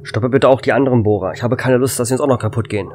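Guy acting as tense space station operator in a sci-fi radio play, medium pitch, bit of noise. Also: racing, a little mellow, lightly acted. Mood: alarmed. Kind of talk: amateur sci-fi audio drama acting.

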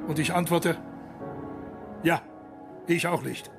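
A man's voice: on the high side, steady tone, takes it slowly, creaky, over music. An older man playing a self-important, solemn German Kaiser in a radio play, speaking in a pompous, oratorical way. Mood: narrating a dream. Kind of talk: radio play acting, declaimed speech and anecdote.

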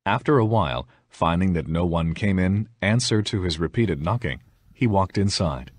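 The low, grainy man's voice you'd hear on a bedtime audiobook of old fairy tales. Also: animated, normal speed, no room sound. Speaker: storyteller. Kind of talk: scripted fairy tale narration.

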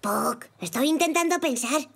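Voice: sits high, lively intonation, normal speed, gravelly, fairly bright tone, faint music behind, heavily acted. A performer playing a curious, imaginative five-year-old boy zebra with an eager childlike manner in a children's cartoon dub.

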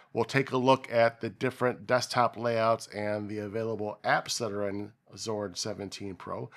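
A man in his forties with a slightly nasal voice from a cold, hosting a casual tech walkthrough from his home office, talking loosely with fillers and restarts. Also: fairly low pitch. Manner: conversational, many fillers and restarts. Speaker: tech channel host. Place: home office with desk and monitor.

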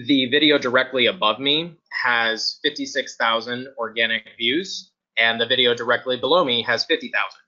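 Forceful man starting to lose his voice. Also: medium pitch. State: starting to lose his voice, often clearing his throat. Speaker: guest user giving a testimonial. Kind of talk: casual webinar testimonial. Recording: dull, lo-fi webinar audio.

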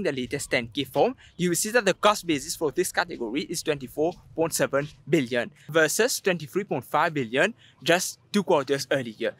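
A man's voice: a little high, a bit bright. A young man presenting an investing explainer to camera, speaking emphatically. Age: young adult. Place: outdoors on a sandy beach.

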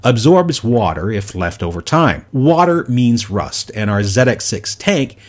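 A middle-aged man narrating his motorcycle restoration in a scripted voice-over, with a wry, animated delivery. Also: mid-range voice, measured pace.

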